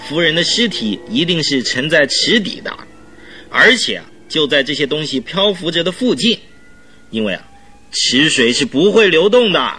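A man's voice: on the high side, breathy edge, faint music behind, full character voice. A man playing an eager, self-satisfied police detective chief in a radio drama, exclaiming and drawing out his phrase endings. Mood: earnest, insistent. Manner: animated, exclamatory, drawn-out particles. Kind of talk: Chinese radio drama acting.

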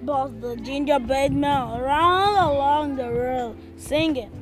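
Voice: high voice, takes it slowly. A school pupil, a child, telling a children's story by reading it aloud. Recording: loud music behind the voice.

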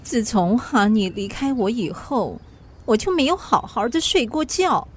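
High, slow, weepy society wife. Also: female voice, big pitch swings, a little hiss or hum. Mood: tearful, grieving. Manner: plaintive, whining, melodramatic. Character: weepy, doting society wife pining for her lost pet. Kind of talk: Mandarin literary radio drama acting.

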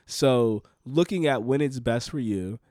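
A man's voice: medium pitch, measured pace, darkish tone, no room sound. A man in his late twenties chats as a podcast co-host, leaning on his points with earnest repetition like a motivational coach. His voice sits in an ordinary middle range and is recorded close and dry, with no room sound. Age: 20s. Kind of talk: casual conversation.

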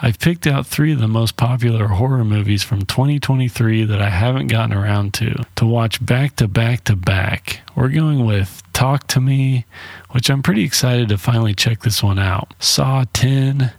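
A man's voice: medium pitch, moderate pace, vocal fry, a little mellow, no room sound. A man hosting a solo podcast, giving a casual movie review in a blunt, informal way with some swearing. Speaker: podcast host.

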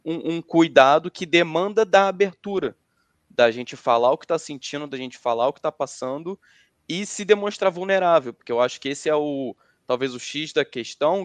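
Higher-voiced Brazilian man in a close, dry recording. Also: dry recording. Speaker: panelist in a group discussion. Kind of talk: casual reflective discussion.

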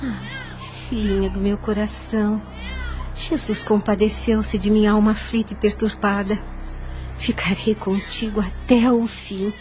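An actress playing a young, ailing, grieving mother in a radio soap opera, speaking formally with drawn-out, devout sorrow. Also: mid-range voice, steady tone, darkish tone, close to an everyday voice. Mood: devout, tender. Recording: radio drama with music underneath. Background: background music.

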